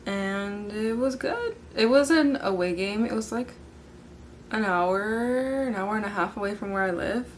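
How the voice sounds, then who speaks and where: Hoarse, bubbly teenage girl chatting. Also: medium pitch, a little slow, slight background noise. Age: late teens. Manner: bubbly, filler-heavy, says "like" constantly. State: hoarse from yelling. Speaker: podcast host. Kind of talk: casual chat.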